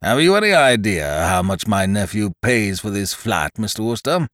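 A stingy old duke grumbles slowly in a deep, gloomy drawl, as a man doing the voices puts him on. His voice drops low and swings heavily between words. The sound is dry and close. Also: big pitch swings, a bit bright, no room sound. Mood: disapproving. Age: older. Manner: slow, gloomy, disapproving. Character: grumbling elderly British duke, stingy and fussy. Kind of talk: audiobook character voice.

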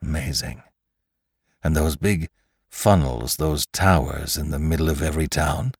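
A man doing a cold, low-voiced, guarded schemer. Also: measured pace, slightly rough, dry recording, exaggerated voice. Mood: sly, measured. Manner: dry, terse, guarded. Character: cold, wary, secretly murderous man.

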